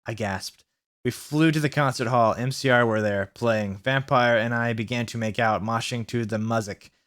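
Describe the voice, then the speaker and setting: A man with a mid-pitched voice reads a story aloud as a comic host. His delivery is sardonic and dramatic, breaking off for mocking asides and sounding out the mistakes. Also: steady tone, fairly bright tone. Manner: deliberately reads out misspellings, sardonic. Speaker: host reading fan fiction aloud.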